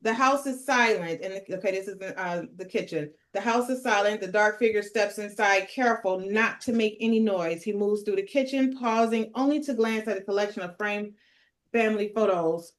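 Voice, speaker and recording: A woman with a medium-pitched voice narrates a script. She reads scene headings and action lines as the leader of a table read. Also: moderate pace. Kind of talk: reading screenplay stage directions.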